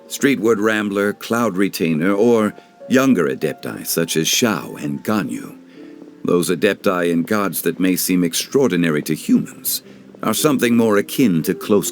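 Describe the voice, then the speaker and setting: A composed, ageless gentleman from an anime game. He speaks formally and wisely in a low voice with lively, shapely intonation. Faint music plays behind him. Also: full character voice. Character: calm, wise, dignified ancient god living as mortal gentleman. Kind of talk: anime game dub acting.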